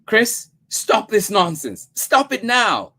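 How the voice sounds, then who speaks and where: A man voicing an anxious adoptive mother, in a high strained voice while reading a story aloud. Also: big pitch swings, measured pace, a little mellow, husky, heavily acted. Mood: alarmed. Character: anxious adoptive mother, woman, pleading then stern.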